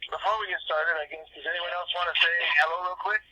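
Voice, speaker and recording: A man hosting a business conference call, talking informally in a rambling way and repeating himself as he makes his pitch. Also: steady tone, fast, bright, forceful tone, slightly rough. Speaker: host and business owner leading a conference call. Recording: dull, lo-fi phone conference line.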